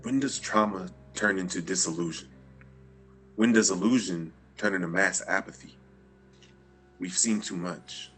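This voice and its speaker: A man's voice: sits low, measured pace, long pauses, a bit bright, breathy edge, faint music behind, heavily acted. A man reading a cynical, jaded male journalist in a table read of a noir script, speaking in a sardonic, brooding monologue.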